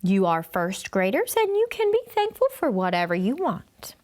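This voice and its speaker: A woman voicing a patient, bemused male first-grade teacher in a storybook, with a halting, gently questioning manner. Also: medium pitch, huge pitch swings. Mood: calming, accepting.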